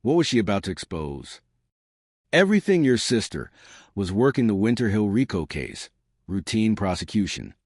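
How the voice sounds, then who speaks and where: Male mystery narrator, scripted first-person read, animated pitch movement, dry and close recording. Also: moderate pace, dry recording.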